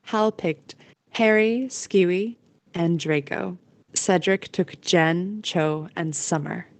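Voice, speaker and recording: A woman's voice: lowish voice, slow, no echo. A woman narrating a scripted story as the narrator of an audio play.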